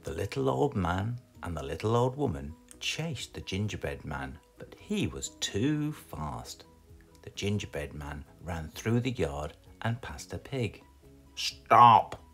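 A British man reading a children's storybook aloud in an expressive, scripted storytelling style. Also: deep voice, unhurried, vocal fry, soft background music. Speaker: storybook reader.

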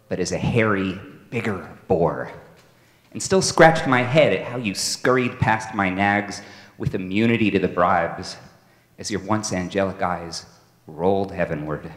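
Casting: man performing aging rodent dad, male, tender and proud. Solemn theatrical verse, deliberately delivered, big echoey stage sound. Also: lowish voice, close to an everyday voice. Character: tender, proud, aging father rodent addressing his pup. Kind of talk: dramatic poetry reading. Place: stage in a large hall with audience. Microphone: microphone on a stand. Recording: echoey hall, stage microphone.

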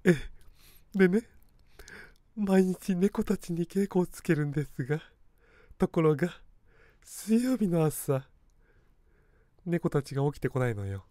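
A man's voice: on the high side, animated, measured pace, pauses often, dark tone, no room sound, studio quality, voice pushed up. A man voicing a haughty, miserly middle-aged woman who leads a cat circus, genteel and easily offended, in a comic radio drama. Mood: pompous. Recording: noisy background ambience.

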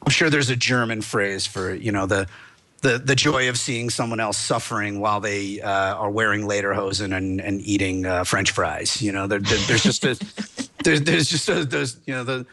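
Bright-voiced man, rambling and animated. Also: lowish voice. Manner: rambling, restarts, quick excited bursts. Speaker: guest lawyer. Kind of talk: animated video call chat. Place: small room at home. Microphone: headset mic on video call.

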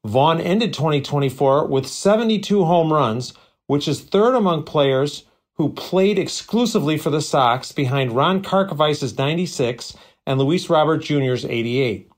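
A man's voice: a little high, animated, normal speed. A man reading a prepared stats segment, dry and list-like with wry asides. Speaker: stats contributor.

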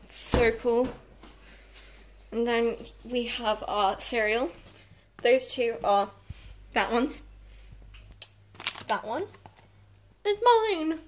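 A young British woman with a fairly high voice gives a chatty, teasing house tour. She talks casually while carrying a phone from room to room, with faint noise on the recording. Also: fairly even intonation, drawn-out words, pauses often. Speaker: vlogger giving a house tour. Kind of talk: casual handheld vlog chat with sibling. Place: indoors, rooms of a holiday house. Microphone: handheld phone or camera. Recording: noisy handheld phone recording.

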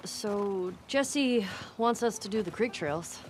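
A woman's voice: medium pitch, expressive, slow, soft background music. An actress playing a wry young woman survivor in a video game, speaking in a dry, casual, understated way. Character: wry young woman survivor in a post-apocalyptic winter town. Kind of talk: naturalistic video game acting.

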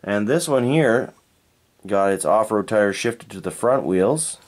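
A man from Canada reviewing toy cars in a relaxed, unhurried way, thinking aloud and correcting himself as he goes. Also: lowish voice. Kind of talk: unscripted hands-on toy review. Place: indoors at a tabletop diorama.

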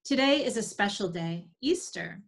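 A woman's voice: mid-range voice, lively intonation, normal speed, vocal fry. A woman in her forties giving scripted storytelling for children, recorded over a video call from a room at home. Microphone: video call computer mic.

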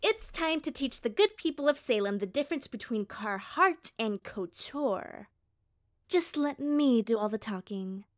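A woman's voice: fairly high pitch, animated, a little slow. A woman playing a brash, vain, bossy teenage sister, sassy and dismissive, in an audio drama. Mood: breezy, self-assured.

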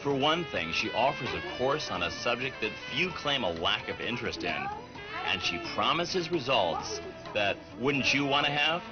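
A man reading a scripted promotional voice-over in a smooth announcer style. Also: medium pitch, sing-song, moderate pace. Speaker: promo narrator. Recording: dull lo-fi sound with music behind.

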